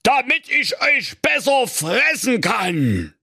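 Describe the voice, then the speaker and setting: A man voicing a bossy, menacing big bad wolf disguised as a lifeguard, in a drawn-out, mock-stern storybook delivery. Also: very high voice, big pitch swings, vocal fry, sharp tone, breathy edge, dry recording, studio quality, pitched above the performer's own voice, full character voice.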